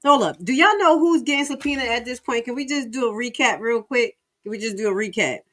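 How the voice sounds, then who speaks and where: A woman's voice: on the high side, quick pace, fairly bright tone. An American woman reacts live as a stream host. She talks casually and colloquially, stretching words for emphasis and exclaiming often. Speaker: livestream host.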